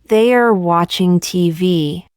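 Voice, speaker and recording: A woman reading short practice sentences aloud for learners, saying each one twice, slowly and carefully. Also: lowish voice, darkish tone, smooth, no room sound, clean studio sound. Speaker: English teacher.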